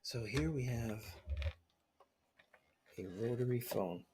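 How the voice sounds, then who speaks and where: A middle-aged man talking softly and unhurriedly in a relaxed, reflective ASMR chat, with a slightly husky voice. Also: mid-range voice, slow, slight background noise. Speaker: ASMR video host.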